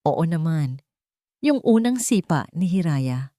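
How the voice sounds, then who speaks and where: A woman's voice: deep voice, sing-song, takes it slowly, no echo, studio quality, heavily acted. Woman reading as a tender, loving mother. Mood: fond. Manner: tender, hushed. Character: tender, loving mother at peace with her grief.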